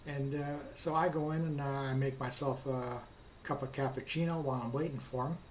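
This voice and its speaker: A man's voice: mid-range voice, fairly even intonation, brisk, a little hiss or hum. A man casually telling a personal anecdote in a plainspoken way, recounting back-and-forth dialogue as he goes. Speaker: car customer telling his experience.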